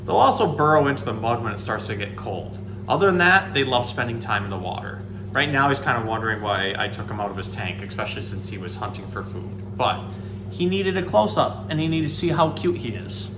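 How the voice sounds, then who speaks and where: Man teaching in an echoey, noisy room. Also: mid-range voice. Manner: casual, explanatory, talks to the animal. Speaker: animal educator and storytime host. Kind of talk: informal nature lesson and picture book read-aloud. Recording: echoey room, clear background noise.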